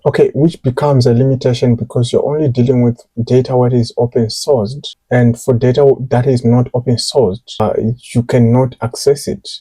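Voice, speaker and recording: A man's voice: mid-range voice, fairly even intonation, normal speed, few pauses, warm tone, husky, dry recording, rough recording. A man hosting a podcast who asks casual questions hesitantly, with fillers and repeated words. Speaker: podcast host interviewing an AI.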